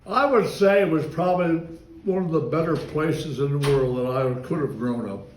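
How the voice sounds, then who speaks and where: A man's voice: a little high, moderate pace, reverberant, rough recording. A man in his nineties from Maryland, reminiscing about his childhood in an oral history interview and wandering into digressions. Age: 90s. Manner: rambling, digressive, chuckles while recalling.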